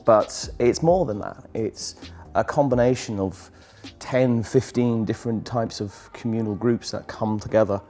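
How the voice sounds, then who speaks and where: Low-voiced guy, earnest, rambling interview answer with lots of ums, faint music behind. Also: unhurried, mellow. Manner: earnest, loose, many fillers and restarts. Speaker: music campaigner.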